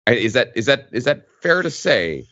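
A man in a casual podcast discussion, halting as he searches for the right words. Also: medium pitch, sing-song, pushed and bright, highs cut off. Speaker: podcast panelist.

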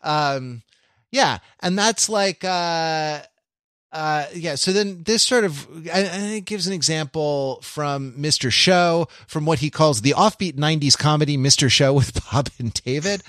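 A man co-hosting a podcast in casual analytical chat, halting and correcting himself as he thinks out loud. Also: on the high side, dry recording, studio quality. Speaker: podcast co-host.

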